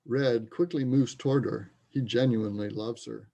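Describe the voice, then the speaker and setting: Creaky, mellow male narrator. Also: mid-range voice, steady tone, moderate pace. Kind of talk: reading stage directions, scripted narration.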